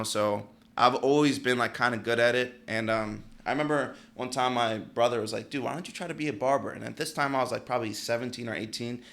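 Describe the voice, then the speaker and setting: A man's voice: lowish voice, creaky, fairly bright tone. A man in his late twenties from Pennsylvania, a barber telling his story as a podcast guest, laid-back and full of "like" and "you know". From Pennsylvania, United States. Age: late 20s. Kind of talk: casual interview chat.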